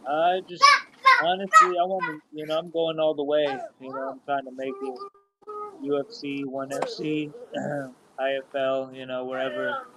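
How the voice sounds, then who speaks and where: A man's voice: mid-range voice, big pitch swings, normal speed, very noisy. A man in his thirties, a guest fighter in a casual interview, earnest and full of "you know" and "honestly". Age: 30s.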